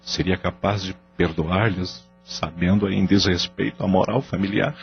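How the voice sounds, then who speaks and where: A man's voice: low voice, steady tone, measured pace, gravelly. A man playing a guilt-ridden unfaithful husband and father who speaks in a pleading, remorseful way. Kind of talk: audio drama acting. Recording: dull lo-fi audio with faint music.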